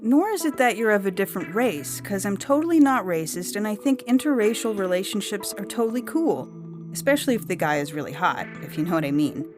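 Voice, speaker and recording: Female reader as blunt, chatty young abductee in comic audio fiction; slangy, emphatic, mid-pitched, music underneath. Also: animated, brisk, natural voice. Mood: frank, rambling. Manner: breezy, rambling, emphatic, slangy. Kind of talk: dramatic monologue reading of a comic story.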